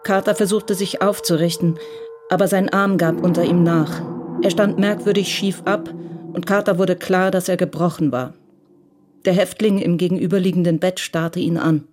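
A woman narrating a radio crime drama in a measured, even storytelling voice. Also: lowish voice, noisy background.

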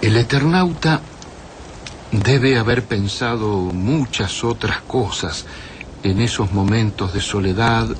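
An actor playing a solemn writer who recounts another man's tale in a radio drama, with deliberate delivery. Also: lowish voice, a little hiss or hum. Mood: solemn, reflective. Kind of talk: radio drama first-person narration.

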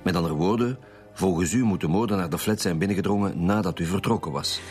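A man's voice: sits low, quick pace, a little mellow, close to an everyday voice. A man with a Flemish accent playing a stern, wry lead homicide detective in a radio drama, with a formal, probing manner. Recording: music behind the voice.

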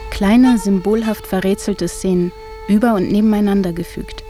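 A woman's voice: medium pitch, steady tone, normal speed, over music. A woman reading scripted lines as a narrator in a radio feature.

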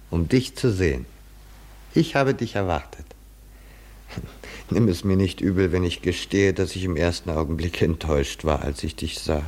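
An actor playing a condescending, eccentric male admirer of a hero, familiar and theatrical, in 1950s German radio drama style. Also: deep voice.

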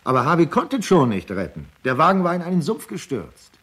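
A loyal male friend testifies in a radio play. His voice is husky and mid-pitched. He defends his comrade insistently, with very animated intonation. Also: fairly quick, full character voice.

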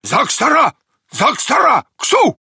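A man voicing an excitable, urgent female dog in an animated children's storybook reading, exclaiming insistently. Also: high-pitched, a little slow, gravelly, breathy edge, dry recording, clean studio sound, pitched above the performer's own voice, exaggerated voice. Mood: commanding, excited.

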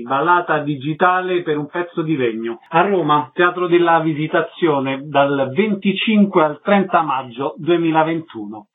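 Guy hosting a radio interview show, highish voice, scripted sounding, slightly muffled audio. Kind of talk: prepared radio interview questions and announcements.

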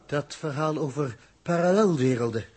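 Husky, desperate spaceman. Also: fairly high pitch, a little mellow. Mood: shaken. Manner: agitated, halting, repeating himself. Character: desperate, doubting male spaceship crew member. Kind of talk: 1960s Dutch radio drama acting.

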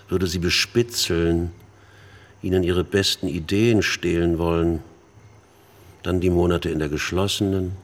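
An actor playing a sinister, smug older man in a radio play, speaking in a raspy voice with a deliberate, insinuating, condescending manner. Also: low-pitched. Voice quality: husky, raspy. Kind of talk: radio drama dialogue.

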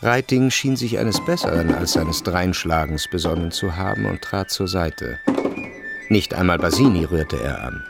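A man narrates a literary radio drama in a low, clearly creaky voice. His phrasing is deliberate and dramatic, and music plays beneath it. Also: expressive.

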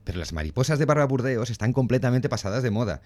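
A man's voice: medium pitch, expressive, quick pace, darkish tone, natural voice. A man playing a meek, vain husband in a radio drama, fussy, plaintive and coaxing. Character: vain, meek, submissive husband obsessed with his looks.

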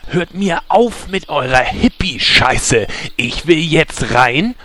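A man playing a gruff, crude, grumpy lockpicker in a parody audio drama, curt and grumbling. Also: a little high, sing-song, slow pace, sharp tone, exaggerated voice. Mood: fed up.

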